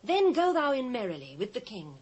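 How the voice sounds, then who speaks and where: An actress playing a villain's scheming, bloodthirsty wife with a husky British voice in a scripture drama. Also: female voice, on the high side, huge pitch swings, fairly quick, full character voice. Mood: scheming relish.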